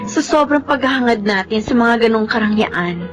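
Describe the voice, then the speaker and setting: A woman's voice: on the high side, lively intonation, normal speed, music in the background, close to an everyday voice. A woman playing an emotional, pleading grown daughter in a Filipino drama, with heartfelt delivery. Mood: tender, earnest.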